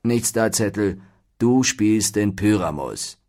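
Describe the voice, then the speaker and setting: A man's voice: mid-range voice, animated, normal speed, a little mellow, husky, pitched above the performer's own voice. A man voicing a dignified, unflappable carpenter who leads his troupe with firm, patient authority.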